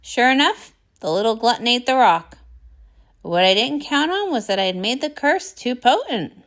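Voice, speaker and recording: A woman's voice: fairly high pitch, very animated, normal speed, a bit bright. A woman voicing a grumpy, self-pitying old male wizard pony in a storybook, grumbling in defeat. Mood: rueful, grumbling.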